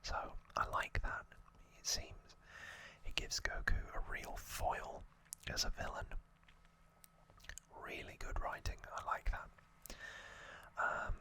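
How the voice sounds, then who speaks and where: A man's voice: slow pace, bright, forceful tone. Whispering man, ASMR host. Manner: informal, rambling, self-correcting. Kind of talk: whispered ASMR trivia recap.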